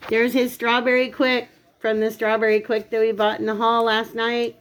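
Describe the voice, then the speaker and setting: A woman hosting a home cooking video, her voice dry and raspy today, chatting casually as she explains each step. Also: on the high side, little pitch movement, normal speed, a little hiss or hum, poor audio. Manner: chatty, explains steps while working. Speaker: cooking channel host. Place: home kitchen.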